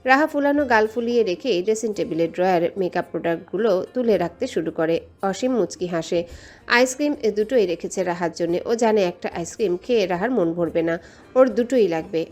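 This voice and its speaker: Woman narrating over soft music. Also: medium pitch, fairly quick. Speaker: story narrator. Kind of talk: scripted romantic story narration.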